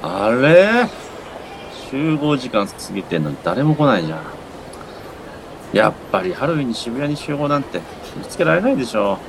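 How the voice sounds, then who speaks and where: A man acting a fussy, exasperated straight-man friend with quick retorts in a comedic radio drama. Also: medium pitch, big pitch swings, background noise. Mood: annoyed.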